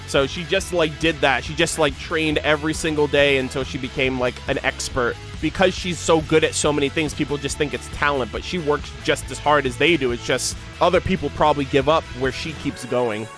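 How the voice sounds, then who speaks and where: A man's voice: a little high, fairly bright tone. A man reading game lore aloud and breaking into casual, slangy commentary as a video creator. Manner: informal slangy asides between measured reading.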